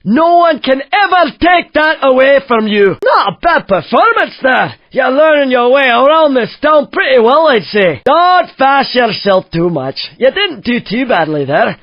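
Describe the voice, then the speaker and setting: An actor playing a cheeky, excitable Scottish driving guide who teases and exclaims in a racing video game. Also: male voice, very high-pitched, a little slow, hardly any pauses, dry recording, heavily acted. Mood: teasing, judging. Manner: teasing, exclamatory. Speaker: game announcer coaching racing drivers. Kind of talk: animated racing game commentary. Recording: dry, slightly dull lo-fi game audio.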